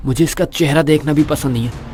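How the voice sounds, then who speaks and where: A man's voice: a little high, brisk, darkish tone, soft background music, natural voice. A man playing an arrogant, cold young rich boss in an audio romance drama, haughty, mocking and contemptuous. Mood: agitated, annoyed.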